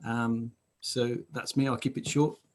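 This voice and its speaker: A man introducing himself on a video call in a relaxed way, with many fillers. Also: medium pitch, unhurried. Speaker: panelist. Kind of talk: self-introduction on a webinar.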